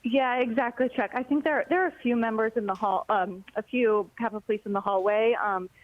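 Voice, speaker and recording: A woman's voice: on the high side, steady tone, brisk, no echo. A young woman reporter phoning in a live report, recounting events hesitantly with many filler words. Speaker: congressional reporter. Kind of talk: live phone report on breaking news. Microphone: phone. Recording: muffled lo-fi phone line.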